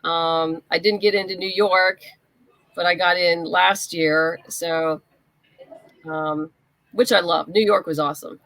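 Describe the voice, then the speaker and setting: A woman's voice: lowish voice, fairly even intonation, unhurried, gravelly, a bit bright, dry recording. A woman being interviewed, chatting casually and explaining things with plenty of "you know". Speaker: interview guest, comic writer.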